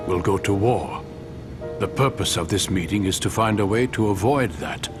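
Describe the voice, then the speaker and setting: An actor playing a composed, courteous king in a fantasy game cutscene, speaking in a formal, measured, authoritative way. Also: on the low side, natural voice. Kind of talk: scripted video game cutscene acting.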